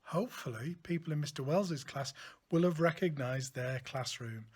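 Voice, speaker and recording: A man reading a children's picture book aloud in an expressive, deliberate storytelling manner, like a school teacher. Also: a little high, breathy edge.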